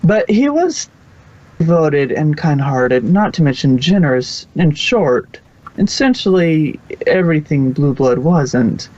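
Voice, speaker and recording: A man's voice: fairly high pitch, sing-song, slow pace, a little mellow. Man narrating a story, dull lo-fi sound. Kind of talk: amateur scripted story narration. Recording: dull, lo-fi home recording.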